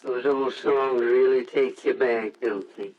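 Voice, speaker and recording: An actor playing a plain-spoken, gentle family friend with a folksy manner in 1940s radio drama style. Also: male voice, mid-range voice, fairly even intonation, a little slow, close to an everyday voice. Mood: gentle, subdued. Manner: folksy, colloquial. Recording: dull, noisy old radio recording.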